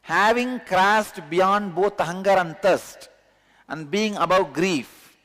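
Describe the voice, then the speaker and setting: A man's voice: high-pitched, slow, pushed and bright. A man with a Tamil accent giving a spiritual discourse as a teacher, speaking earnestly and repeating key words for emphasis. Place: large satsang hall. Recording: long reverb of a large hall.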